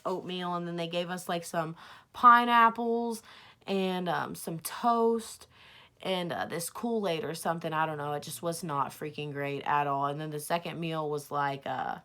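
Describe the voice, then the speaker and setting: A young woman with a bright, forward voice talks like a vlogger sharing a story with friends. Her delivery is casual and chatty, full of slang and swearing. She tends to act out both sides of a conversation as she goes. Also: on the low side. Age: young adult. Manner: informal slangy talk with frequent swearing, acts out dialogue. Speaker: vlogger telling a personal story.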